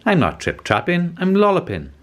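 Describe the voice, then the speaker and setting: Storybook rabbit, played by a man: bouncy, pleading, pitched high above natural talk, very lilting. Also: wildly swooping pitch, moderate pace, a bit bright, exaggerated voice. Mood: matter-of-fact. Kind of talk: children's storybook character voice.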